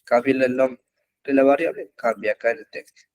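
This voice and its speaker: A man teaching an online web development class, explaining code slowly with frequent pauses and fillers. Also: medium pitch, steady tone, darkish tone, lo-fi sound. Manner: slow, halting explanation with many pauses and fillers. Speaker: programming instructor. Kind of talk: live online coding class. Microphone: computer mic in an online class.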